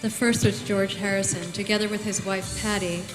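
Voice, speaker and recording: Woman reading over loud music. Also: medium pitch, steady tone, moderate pace. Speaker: guest reader. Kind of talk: reading a book excerpt. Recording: hall with loud music behind.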